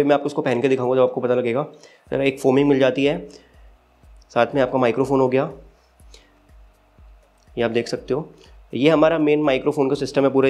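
A man's voice: mid-range voice, a little mellow. A man with an Indian accent giving a casual, step-by-step unboxing review as the channel's host. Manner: explanatory, step-by-step.

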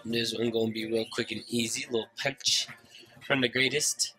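A man's voice: mid-range voice, fairly even intonation, normal speed, pushed and bright, dry recording, low-quality recording. A young man with a slightly stuffed-up, nasal voice gives loose, profane, joking commentary to his viewers while playing a game. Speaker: gaming YouTuber. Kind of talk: casual Let's Play commentary. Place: small room lit by a side window. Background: game music underneath.

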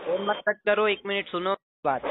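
A man's voice: sits high, moderate pace. A young man from Nagpur on a heated phone call, insistently accusing and lecturing the other man. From Nagpur, India. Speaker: caller. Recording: tinny phone line with faint hiss.

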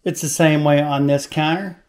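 An older man hosting a home repair video in his kitchen, explaining in a relaxed, folksy, plain-spoken way on a lapel mic. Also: fairly high pitch, normal speed. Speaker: DIY video host. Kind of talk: casual how-to demonstration.

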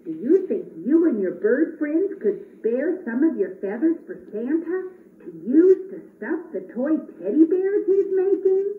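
A woman's voice: high voice, big pitch swings, measured pace. A woman voicing an earnest, worried, kindly Christmas elf in a children's puppet show. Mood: tactful. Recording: echoey, tinny, distant camera, faint noise.

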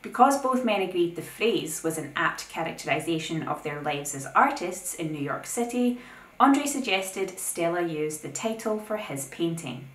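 A young woman with a somewhat low voice narrates a scripted art lesson, breaking in now and then with her own opinions. The sound is roomy, with a noticeable echo off the walls of an indoor space. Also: normal speed. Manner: opinionated, lively asides between explanations. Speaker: art video host. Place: plain indoor room.

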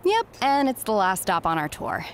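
Brisk, harried middle-aged recruiter. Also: female voice, mid-range voice, huge pitch swings, a little hiss or hum. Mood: brisk, businesslike. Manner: brisk, distracted, businesslike. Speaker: head recruiter. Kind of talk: naturalistic video game cutscene acting.

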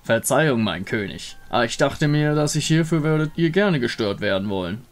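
Ein Mann spricht ein untotes Schattenwesen, unterwürfig, förmlich und hinterhältig, in einem Fantasyspiel. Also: male voice, mid-range voice, expressive, brisk, natural voice. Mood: servile. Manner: obsequious, formal. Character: sly servile undead wraith, treacherous schemer.

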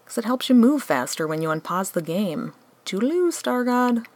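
A woman's voice: on the high side, big pitch swings, normal speed, darkish tone, no echo. A woman playing a weary, flippant atheist angel with a dry sarcastic manner in a comic radio play.

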